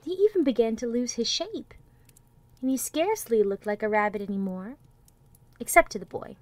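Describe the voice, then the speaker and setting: A woman narrating a children's storybook expressively, with dramatic delivery that often drops toward a hush. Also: fairly high pitch, animated, normal speed, dry recording.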